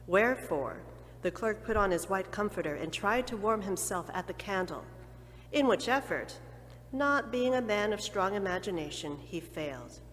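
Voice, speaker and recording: A woman narrating a dramatic reading of a classic story with expressive, theatrical pacing. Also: medium pitch, normal speed, big-room sound, a little hiss or hum.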